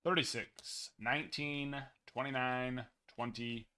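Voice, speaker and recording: A man's voice: medium pitch, takes it slowly, smooth. Young male card-break host, easygoing, rattles off numbers, voice getting worn. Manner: casual, reads numbers in a steady list. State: losing his voice. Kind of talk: live card break chat.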